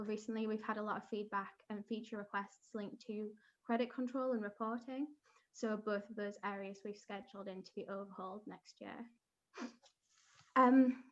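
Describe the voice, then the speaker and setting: A woman giving a steady work update on a conference panel as a product owner, using filler ums and yeahs. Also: mid-range voice, dull audio.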